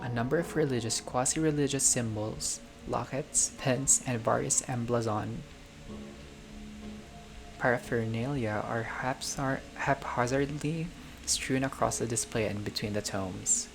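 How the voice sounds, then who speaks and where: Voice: mid-range voice, a little slow, gravelly, poor audio. Game playthrough narrator over music. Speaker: gaming content creator reading a visual novel aloud. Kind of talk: playthrough narration with casual reactions. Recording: music behind the voice.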